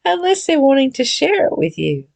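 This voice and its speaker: A woman giving a reflective spiritual reading and teaching in an earnest, deliberate, gently exhorting way. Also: high voice, big pitch swings, gravelly. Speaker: reader and spiritual study teacher. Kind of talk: read-aloud spiritual text with reflective, informal commentary.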